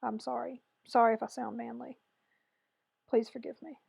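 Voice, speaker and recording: A woman with a hoarse, raspy voice chatting through a makeup tutorial voice-over, rambling and correcting herself as she goes. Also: fairly high pitch, flat delivery, normal speed, a little mellow, no room sound. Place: voice-over recorded separately from the video.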